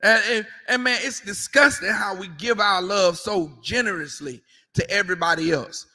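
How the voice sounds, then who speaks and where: A man's voice: sits high, moderate pace, sharp tone, in a large hall. A man giving a confrontational sermon as a street preacher, emphatic and repetitive, with mocking asides.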